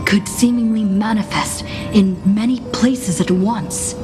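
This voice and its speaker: A woman acting as a grave narrator in a video game, recounting battles and disaster in a solemn, measured storytelling manner. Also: medium pitch, natural voice. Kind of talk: scripted story recap narration. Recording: game audio under loud music.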